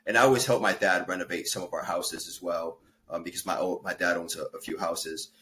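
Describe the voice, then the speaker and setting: Low-voiced guy on a podcast, earnest, lots of uhs and restarts, bit of room noise. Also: fairly even intonation, fairly quick, bright, forceful tone. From Peru. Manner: earnest storytelling with fillers and self-corrections. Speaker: guest, fitness and life coach. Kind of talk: casual podcast interview.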